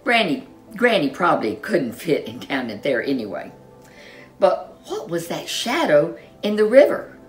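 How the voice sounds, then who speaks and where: A woman storyteller reading a children's storybook in an expressive, animated way, with dramatic emphasis. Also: sits low, measured pace, faint music behind.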